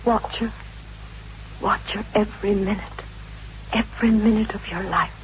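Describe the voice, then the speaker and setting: An actress in a 1950s radio drama playing a distraught, bitter wife who pleads and broods, repeating herself. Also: female voice, mid-range voice, steady tone, normal speed, close to an everyday voice. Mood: weary, bitter. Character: distraught, bitter wife guarding her beloved dog. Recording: noisy, dull vintage radio recording.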